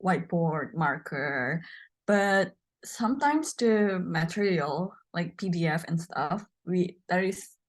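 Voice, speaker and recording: A woman's voice: on the low side, lively intonation, slow. A teenage girl from Indonesia, speaking English as a second language, answers questions in a casual chat and searches for words as she goes. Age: teens. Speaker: guest student. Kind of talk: casual conversation.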